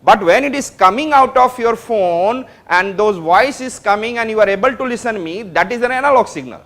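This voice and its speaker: A man with an Indian English accent teaches a class, recorded in a classroom. His voice sits high, and he repeats phrases as he builds each point, stopping often to ask whether his students understand. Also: expressive, fairly quick, a bit bright. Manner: repetitive, explains step by step, checks understanding. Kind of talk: classroom lecture.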